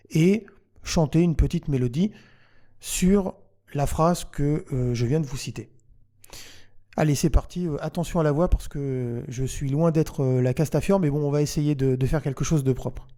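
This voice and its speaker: A man narrating a software tutorial, explaining things step by step as the host. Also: on the high side.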